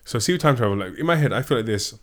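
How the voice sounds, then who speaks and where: A man co-hosting a podcast, rattling along at a quick clip. He teases and prods his co-host in casual, joking chat. His voice sits at an ordinary middle pitch. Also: animated. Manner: fast-talking, teasing, prodding.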